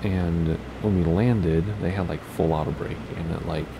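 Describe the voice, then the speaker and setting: Deep raspy man chatting over gameplay. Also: steady tone, normal speed, noisy background. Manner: chatty, thinking aloud while playing. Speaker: flight sim streamer. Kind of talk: casual gameplay commentary.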